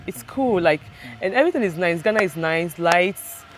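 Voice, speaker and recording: A young Nigerian woman with a Nigerian accent, interviewed at home, speaking in a relaxed, hesitant way with many fillers. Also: fairly low pitch, moderate pace, noisy background. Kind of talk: casual interview chat. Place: home in Ghana.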